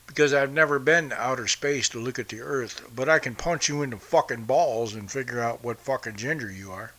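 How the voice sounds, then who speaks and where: A man's voice: medium pitch, fairly quick, bright, forceful tone. A man hosting a podcast, from the United States, ranting bluntly and profanely about politics. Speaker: podcast host. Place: home in Brazil.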